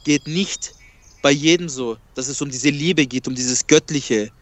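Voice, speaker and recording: A man's voice: mid-range voice, unhurried, fairly bright tone, no echo. A man whose first language is Kurdish, talking emphatically and repeating himself in a casual spiritual conversation.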